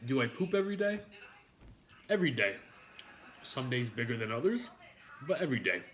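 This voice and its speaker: A man's voice: mid-range voice, big pitch swings, brisk, a bit bright, a little hiss or hum. A man with a New York accent eating on a live stream, rambling to his chat with frequent swearing and jokes. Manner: rambling, profane, jokey with chat.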